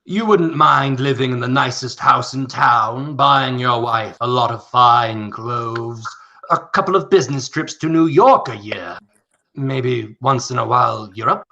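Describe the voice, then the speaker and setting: A man acting as a rich, condescending, scheming old tycoon in a radio play, with a gravelly, theatrical delivery. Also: medium pitch, unhurried, sharp tone, dull audio. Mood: coaxing.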